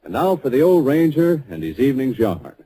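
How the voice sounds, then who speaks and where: A man's voice: medium pitch, big pitch swings, a little fast, mellow, breathy edge. A man announcing an old-time radio program in a formal manner. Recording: old radio broadcast recording.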